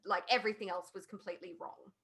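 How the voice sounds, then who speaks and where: A woman in a casual podcast discussion, picking her phrases deliberately with pauses. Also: mid-range voice, steady tone, gravelly, fairly bright tone, husky. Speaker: podcast panelist.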